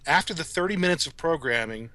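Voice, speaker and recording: Bright-voiced middle-aged man, closely recorded. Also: on the high side, expressive, fast talker, no echo. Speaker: podcast guest. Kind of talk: casual reflective discussion.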